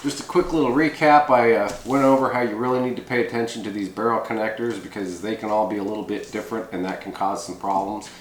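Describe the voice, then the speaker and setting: A man giving an informal, unscripted hands-on tutorial, explaining things in a rambling, hesitant way with frequent pauses. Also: lowish voice, fairly even intonation. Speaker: presenter of a CB radio how-to video. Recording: echoey room with background noise.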